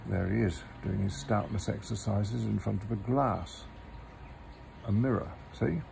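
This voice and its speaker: A man narrates a children's book in a deliberate, theatrical way. His delivery is slow and gentle with a gravelly creak, and there is some noise in the background. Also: on the low side. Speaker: storyteller reading a children's book aloud. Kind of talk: expressive storybook narration.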